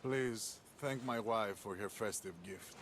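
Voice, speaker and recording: Low-voiced humbled general, noisy surroundings. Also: male voice, slow, a bit bright. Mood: humbled, courteous. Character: humbled, good-humoured Greek general, a soldierly man. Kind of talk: video game cutscene acting. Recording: noisy outdoor scene ambience.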